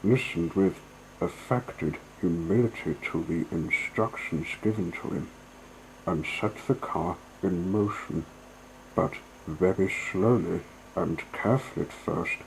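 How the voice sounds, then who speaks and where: A man reads storybook narration in a fairly low voice. He takes it very slowly, as a storyteller, with faint background noise in the recording. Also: gravelly, poor audio.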